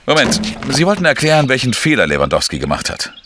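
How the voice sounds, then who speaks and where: An actor playing a weary, irritable investigator who narrates a German crime radio drama in a blunt, sardonic way. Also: male voice, medium pitch, huge pitch swings, quick pace, noisy background. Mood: insistent.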